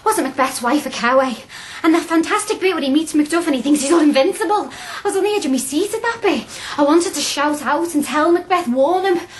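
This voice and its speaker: An actress playing an eager, cheeky young working-class Liverpool hairdresser and student in a radio drama, chatty and blunt. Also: female voice, high voice, lively intonation, fairly quick, few pauses. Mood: breathlessly thrilled.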